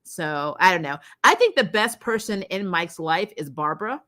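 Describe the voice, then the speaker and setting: A woman hosting a casual review livestream, chatting to viewers in an animated, opinionated and emphatic way. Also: lowish voice. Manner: animated, opinionated, quick to laugh. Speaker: host of a TV show review livestream. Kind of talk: casual livestream chat, reacting to episodes and reading viewer comments.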